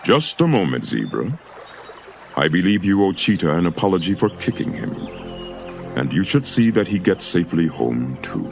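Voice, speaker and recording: A man's voice: deep voice, big pitch swings, normal speed, creaky, music in the background, exaggerated voice. A man voicing a wise, commanding great-grandfather king of the animals in a storybook, speaking formally and powerfully. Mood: firm.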